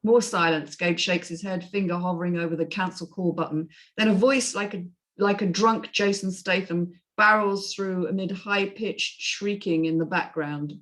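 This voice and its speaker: A woman's voice: on the low side, fairly even intonation, moderate pace, a bit bright. A woman reading scripted screenplay narration and stage directions as the narrator of a drama table read.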